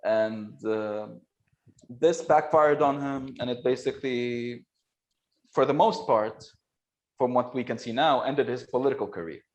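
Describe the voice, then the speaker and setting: A man speaking as a guest expert in a remote interview, analytical but halting, with frequent fillers and restarts. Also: mid-range voice, darkish tone, highs cut off. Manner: hesitant, many restarts and fillers, quick laughs. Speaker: guest expert on Iranian affairs.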